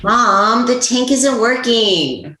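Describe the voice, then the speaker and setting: An excitable little boy of eight, played by a woman at a script read. The voice is sharp and fairly high. The room echoes noticeably. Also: slow, exaggerated voice. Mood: eager. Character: eager, excitable eight-year-old boy. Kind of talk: screenplay table read.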